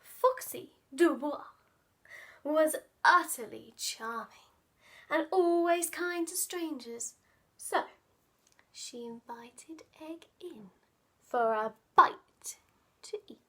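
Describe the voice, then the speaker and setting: A woman reading a children's picture book aloud, dramatic and playful, often dropping into hushed asides. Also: sits high, lively intonation, slow pace, long pauses. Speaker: children's storyteller.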